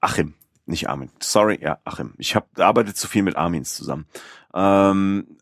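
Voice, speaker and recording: Low-pitched guy on a podcast, lots of ums and restarts, dry room. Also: normal speed, no echo. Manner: rambling, many fillers and restarts. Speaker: podcast host. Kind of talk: casual podcast chat.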